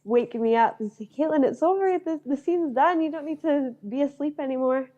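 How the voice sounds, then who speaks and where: A woman's voice: high voice, fairly quick, dark tone. A young woman from Northern Ireland, a guest actor chatting casually in an interview and telling stories with lots of fillers. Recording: dull lo-fi sound.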